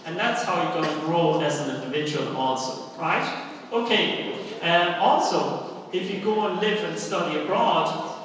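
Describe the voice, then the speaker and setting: Echoey, distant German-born man giving a seminar. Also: on the high side. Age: middle-aged. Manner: rhetorical questions with frequent check-in tags like okay and right. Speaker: university lecturer and seminar speaker. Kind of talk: live seminar presentation to students. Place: large indoor hall, speaking to an audience. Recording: very echoey hall, distant microphone.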